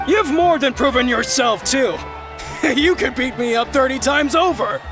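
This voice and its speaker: A good-natured young man from a video game, pitched high for a male. He talks in a modest, conversational way. Music is clearly heard underneath. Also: animated, a little slow. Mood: humble, amused.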